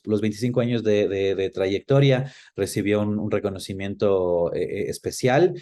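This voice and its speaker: A middle-aged man with an Ecuadorian accent, reminiscing conversationally as an interview guest and pausing to search for words. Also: on the low side, warm tone. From Quito, Ecuador.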